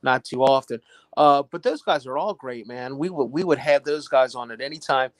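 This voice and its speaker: A man's voice: medium pitch, moderate pace, slight background noise. An American man from Louisiana hosting a casual chat in an easygoing, jokey storytelling manner. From Louisiana, United States. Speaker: host.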